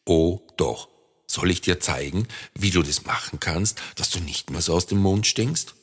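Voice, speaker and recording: A man voicing a cheerful, brave little bear in a children's story, playful and excitable. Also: low-pitched, a little slow, slightly rough, heavily acted. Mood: cheeky, frank. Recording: long reverb.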